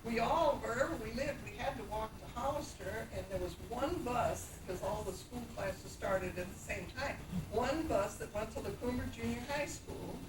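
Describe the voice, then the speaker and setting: An older woman shares memories of her school days at a public oral history forum, rambling warmly from one story to the next. Her voice sits a little high. It comes from far off in an echoey hall under heavy hiss. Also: normal speed, hardly any pauses. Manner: chatty storyteller with frequent fillers. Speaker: panelist recalling childhood.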